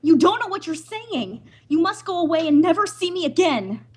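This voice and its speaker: A woman's voice: extremely high pitch, big pitch swings, normal speed. A woman playing an anguished young island daughter torn by love, pleading breathlessly in an old-time radio melodrama. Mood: bitter anguish.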